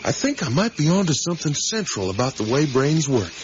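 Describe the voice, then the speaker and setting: An eager, intellectual middle-aged father in a 1980s radio play. He muses at length and stresses his points, with a widely swinging pitch. The recording is dull, with faint noise. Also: big pitch swings, brisk. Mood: musing, animated. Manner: rambling, ruminative, emphatic. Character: musing, eager academic father on a motorcycle trip with his son. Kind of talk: 1980s radio drama acting, naturalistic.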